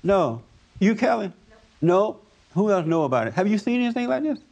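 Guy with kinda high gravelly voice, folksy preacher-host, talks plain, asks the group stuff. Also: sing-song, moderate pace, a little mellow. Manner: folksy, plainspoken, nonstandard grammar. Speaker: host leading a group discussion. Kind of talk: informal preaching talk with audience questions.

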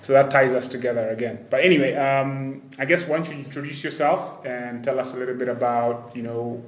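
A man's voice: medium pitch, steady tone, fairly quick. A man hosting a casual podcast interview. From Kenya. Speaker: podcast host. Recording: echoey room with faint background noise.

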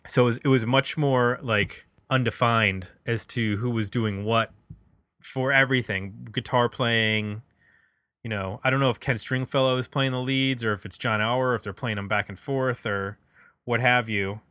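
A man with a bright, forward voice at an everyday middle pitch. He talks casually like a music podcast host, working out his thoughts aloud, and the sound is close and dry. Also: fairly even intonation, normal speed, dry recording. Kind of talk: casual album discussion.

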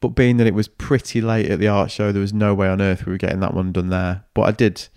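A man hosting a podcast in an easy, chatty way, upbeat and quick with a joke. His voice is smooth and resonant, a touch low, and sits dry and close with no room around it. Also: little pitch movement, darkish tone, no echo. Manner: chatty, upbeat, banter-prone. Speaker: podcast host. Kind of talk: casual chat and solo wrap-up.

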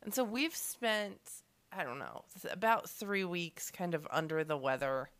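A woman co-hosting a podcast, her voice deep, husky and hoarse from strep throat. She trades wry, laid-back banter, and her pitch sits very low for a woman. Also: expressive, a little slow, fairly bright tone. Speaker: podcast co-host. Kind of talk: casual chat.

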